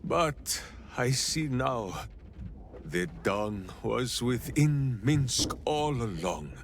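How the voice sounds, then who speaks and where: A man's voice: mid-range voice, lively intonation, drawn-out words, background noise. A man playing a big-hearted, simple warrior ranger in a video game, bombastic and oddly formal. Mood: solemn confession. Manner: bombastic, refers to himself in third person, oddly formal phrasing. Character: big-hearted, simple heroic ranger warrior who talks through his hamster. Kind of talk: theatrical video game character acting. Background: background music.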